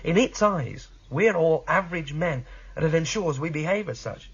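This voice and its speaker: A restless, questioning young man in a radio drama. His voice sits fairly high and swings freely in pitch, on a slightly dull recording with some faint noise. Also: animated, moderate pace, husky. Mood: intense. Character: earnest, questioning young male rebel against a controlling state.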